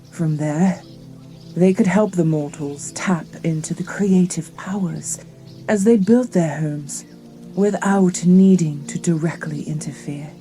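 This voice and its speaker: A woman playing a dignified old matriarch of giant earth titans, slow and solemn, in a fantasy audio drama. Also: sits low, dark tone.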